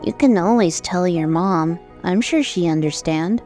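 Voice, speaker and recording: Woman as a low, mellow, unfazed pony mare. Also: measured pace, faint music behind, close to an everyday voice. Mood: calm, questioning. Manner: calm, unfazed. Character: calm, steady, supportive female pony friend. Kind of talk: animated audio drama acting.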